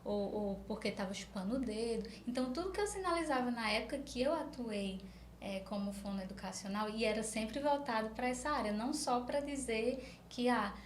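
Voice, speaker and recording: A woman's voice: on the high side, brisk. A woman with a Northeastern Brazilian accent, a speech therapist answering in a conversational interview, explaining things through examples. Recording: roomy echo, faint background noise.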